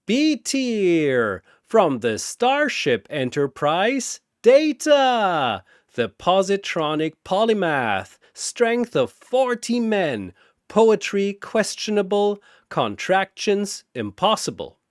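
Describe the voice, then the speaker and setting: Man playing a booming, bombastic ancient cosmic arena announcer. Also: wildly swooping pitch, no echo, clean studio sound, heavily acted. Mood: bombastic hype. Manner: grandiose announcer delivery with drawn-out names. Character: ancient, gleeful cosmic arena master bellowing introductions.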